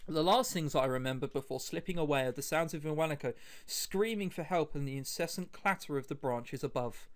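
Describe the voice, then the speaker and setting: A man's voice: on the high side, a little fast. A man reading a visual novel aloud as a let's player, adding wry, chatty asides and sometimes stumbling over his words. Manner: wry, chatty asides between read lines. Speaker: let's play host. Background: background music.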